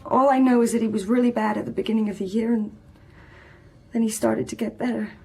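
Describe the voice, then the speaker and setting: An actress playing a grieving young female student in a radio drama, earnest, hurt and guarded, with a breathy voice. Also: on the high side, fairly even intonation, brisk, dark tone. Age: young adult. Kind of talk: naturalistic radio drama acting.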